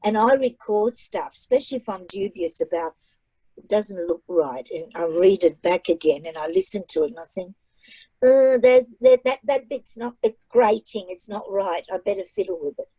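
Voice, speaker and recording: Chatty emphatic woman author, mellow dry voice. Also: medium pitch, animated, moderate pace, slightly rough, no echo, rough recording. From migrated to Australia at age nine. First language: not English. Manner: chatty, emphatic, repeats phrases for effect. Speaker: author and guest speaker. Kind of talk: casual online library talk.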